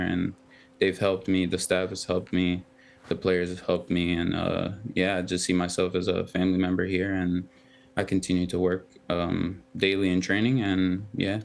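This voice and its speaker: A young man, a professional footballer and a Twi speaker, giving halting, soft-spoken interview answers full of ums. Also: low voice, gravelly. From United States.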